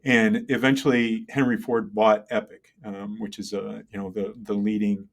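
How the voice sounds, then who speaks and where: A middle-aged man speaking as an interview guest, conversational and halting, thinking aloud and often checking in with the listener. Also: on the low side, level tone. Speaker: interview guest, tech entrepreneur and engineer. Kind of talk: conversational interview, telling career story.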